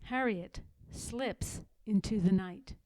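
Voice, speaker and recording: A woman reading a children's picture book aloud in a measured, dramatic narrating style. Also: medium pitch, mellow, no room sound. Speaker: storybook reader.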